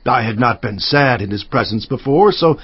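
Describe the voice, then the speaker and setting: A man's voice: medium pitch, animated, normal speed, breathy edge. Solemn, devout man, sweeping intonation. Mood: solemn narrating. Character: Nehemiah, devout, earnest male cupbearer narrating his memoir. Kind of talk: dramatized scripture narration. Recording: slightly dull audio with music behind. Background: music.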